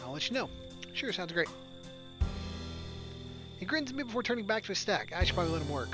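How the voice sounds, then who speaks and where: A man's voice: high-pitched, big pitch swings, quick pace, over music. A man reading a visual novel aloud, giving its first-person narration and lines plainly.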